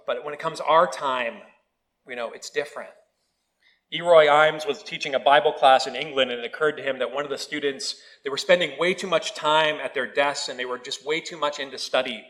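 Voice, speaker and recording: Lively man preaching a sermon. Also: on the high side, a little fast, low-quality recording. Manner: storytelling with humor, animated quoted voices. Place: church.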